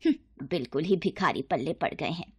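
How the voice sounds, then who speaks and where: A woman's voice: low voice, expressive, normal speed, husky, dry recording, clean studio sound, pitched below the performer's own voice, exaggerated voice. A woman voicing a middle-aged mother-in-law in a children's moral story, haughty and sarcastic in manner. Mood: scornful, sarcastic. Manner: sarcastic, scolding.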